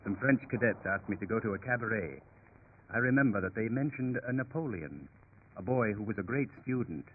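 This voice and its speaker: An actor playing an earnest, polite, ambitious English military man in a formal, measured 1930s radio style. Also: on the low side, animated, breathy edge. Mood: reflective. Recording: tinny, faintly noisy 1930s radio recording.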